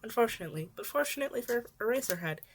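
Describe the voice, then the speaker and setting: A woman's voice: fairly high pitch, animated, very fast, slight background noise. A woman narrating fan fiction in an amateur audiobook style, now and then stumbling and rereading words.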